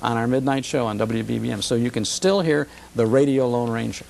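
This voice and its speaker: A man's voice: mid-range voice, brisk, gravelly, a little mellow. A man talking conversationally as a guest expert on radio history, enthusiastic and emphatic, repeating phrases for effect.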